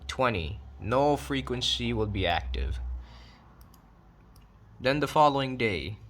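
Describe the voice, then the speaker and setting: A man's voice: medium pitch, a bit bright. A man narrating an explanatory online video in a documentary style, with casual, conversational asides.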